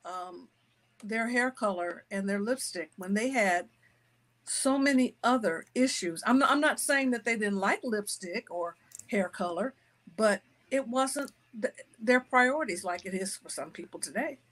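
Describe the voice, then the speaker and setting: A woman's voice: medium pitch, expressive, a little slow, a bit bright. Emphatic woman author in conversation. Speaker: author and interview guest. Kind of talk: conversational interview answers.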